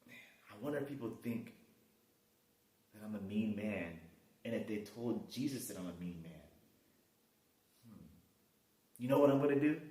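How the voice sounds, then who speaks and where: A man's voice: lowish voice, moderate pace, long pauses, close to an everyday voice. Zacchaeus, a man playing an amazed tax collector. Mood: wondering. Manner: talks to himself, animated and amazed. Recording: roomy echo from a distance.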